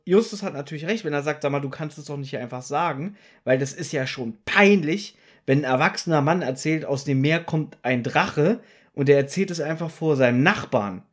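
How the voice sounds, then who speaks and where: A man's voice: fairly high pitch, a little fast. A man co-hosting a casual podcast, teasing and contradicting as he discusses an audio drama. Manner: teasing, contradicting, acts out quotes. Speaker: podcast co-host.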